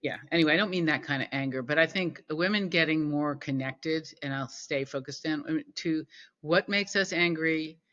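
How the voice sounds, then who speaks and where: A woman guest in an interview, talking thoughtfully with many pauses and restarts. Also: low-pitched, a bit bright, lo-fi sound. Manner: thoughtful, halting with fillers and restarts. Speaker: podcast guest. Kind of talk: conversational interview.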